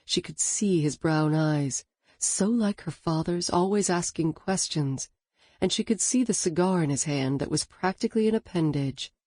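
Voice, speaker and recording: Woman narrator, calm storytelling, low pitch, dry close studio mic. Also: normal speed, no echo. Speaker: audiobook narrator. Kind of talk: scripted narration of a novel. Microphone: studio microphone.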